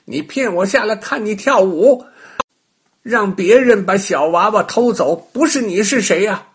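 A man voicing a greedy old witch woman who eats children, grumbling in a gruff voice. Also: high voice, animated, takes it slowly, bright, forceful tone, pitched above the performer's own voice, exaggerated voice. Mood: angry.

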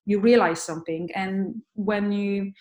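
A woman from Poland, speaking as an interview guest in an earnest, halting way with many restarts. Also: mid-range voice, steady tone, a little mellow. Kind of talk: conversational interview. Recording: roomy echo.

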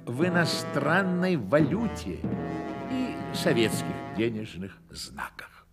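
An actor narrating a satirical radio play as a wry, theatrical storyteller, with weighty, drawn-out phrases. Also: male voice, fairly high pitch, sing-song, loud music behind the voice. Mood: wry, dramatic.

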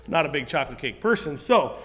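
A man's voice: on the high side, big pitch swings, fast, a bit bright. A man preaching a sermon to a congregation, earnest and conversational, often restarting his sentences. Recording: long hall reverb.

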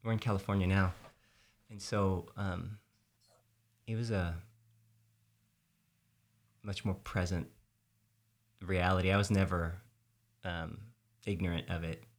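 A man's voice: low-pitched, flat delivery, takes it slowly, long pauses. A man from South Texas, a podcast host, talking reflectively in a casual conversation and searching for his words.

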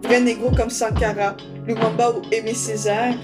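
Slam poet, female, from Congo, high-ish voice, intense rhythmic delivery, with loud music behind. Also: flat delivery, normal speed. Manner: rhythmic, intense spoken word. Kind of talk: slam poetry performance.

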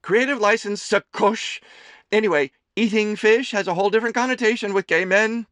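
A man voicing a doting, gossipy, middle-aged mother who runs a diner, loud and theatrical. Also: a little fast, no room sound, studio quality. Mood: teasing. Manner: loud, theatrical, high chirpy voice.

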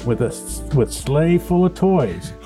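A man playing a jolly, kindly old Santa Claus, gently teasing and grandfatherly as he entertains children. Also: a little high, big pitch swings, faint music behind. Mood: merry storytelling. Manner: chuckling, unhurried, gently teasing. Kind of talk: storybook reading and unscripted chat with children.

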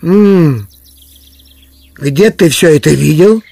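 A lordly sheriff in a radio play, high-pitched and creaky. He is curt and demanding, drawing out his words slowly and haughtily. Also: animated, dark tone, heavily acted. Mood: imperious. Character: haughty, imperious sheriff on horseback. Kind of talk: Russian audio drama acting.

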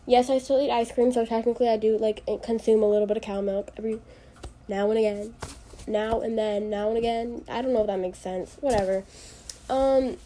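A young woman with a somewhat high, mellow voice chats alone on her podcast, stuffy-nosed from allergies. She rambles loosely, fills gaps with ums and likes, and jokes at her own expense. Faint ambience hangs behind her. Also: steady tone, fairly quick, slight background noise. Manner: rambling, self-deprecating, full of fillers. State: congested from allergies. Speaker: podcast host. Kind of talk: casual solo podcast chat.

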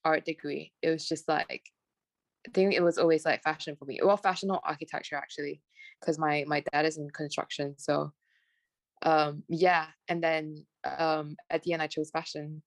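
Young adult Malaysian woman, mixed transatlantic accent, lowish voice, dry close sound, rambling guest. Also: little pitch movement, normal speed, dry recording. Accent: Malaysian with British and American traces. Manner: rambling, many "like" and "um" fillers, self-restarts. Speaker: guest, fashion graduate. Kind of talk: casual podcast interview.

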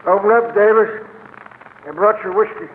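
Man playing a higher-voiced greedy, slimy crook. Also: normal speed, close to an everyday voice. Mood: oily, grasping. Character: greedy chiseling male hideout keeper, wheedling and slimy. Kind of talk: 1940s crime radio drama acting. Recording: tinny, hissy old radio broadcast.

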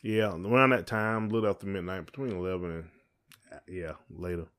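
A man's voice: low voice, brisk, fairly bright tone. A man with a Southern American accent co-hosting a podcast in a casual, folksy, teasing chat. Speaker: podcast co-host.

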